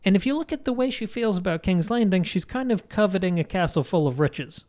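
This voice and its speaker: A man's voice: sits high, expressive, brisk, darkish tone. A man co-hosting a book podcast, giving conversational literary analysis and thinking aloud as he goes. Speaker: book club podcast co-host.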